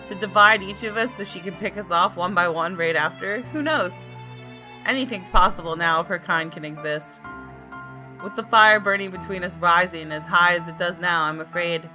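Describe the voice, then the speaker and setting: A woman hosting a gaming video, commenting on a visual novel and reading its narration aloud in an expressive, dramatic way. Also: fairly low pitch. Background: game music.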